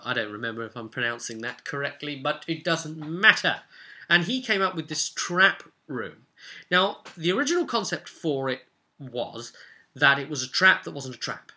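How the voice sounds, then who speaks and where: A man talking casually as a game master and video host, rambling through a recap of a tabletop session with animated, emphatic repetition and rhetorical asides. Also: mid-range voice.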